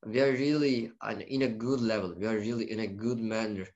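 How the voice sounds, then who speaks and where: A man's voice: lowish voice, fairly even intonation, fairly quick, a little mellow, lo-fi sound. A young man with a Turkish accent, speaking English hesitantly, with many fillers and restarts, as a university student being interviewed. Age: young adult. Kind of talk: casual conversational interview.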